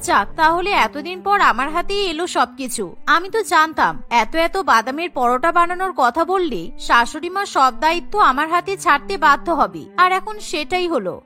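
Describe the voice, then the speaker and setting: High, bright, petulant young wife acted by a woman. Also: animated, a little fast, hardly any pauses, faint music behind. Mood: scheming. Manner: indignant, complaining, argumentative. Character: stubborn, petulant young daughter-in-law obsessed with food. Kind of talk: cartoon voice acting.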